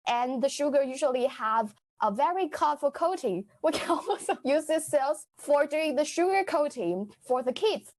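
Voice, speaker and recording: A woman with a high voice explains an invented discovery in an improv game. She talks earnestly and carefully as a podcast guest.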